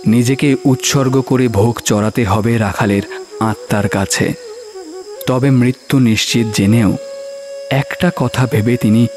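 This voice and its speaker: A man's voice: medium pitch, a little slow. A man narrating a scripted horror story in a dramatic audio-drama style. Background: background music.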